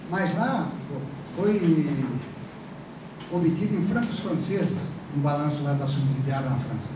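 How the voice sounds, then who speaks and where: A man with a Brazilian accent lecturing a university class in a chatty, rambling way, full of fillers and tag questions. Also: high-pitched. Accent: Brazilian Portuguese. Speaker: professor. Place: large classroom. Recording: echoey classroom from far off, noisy.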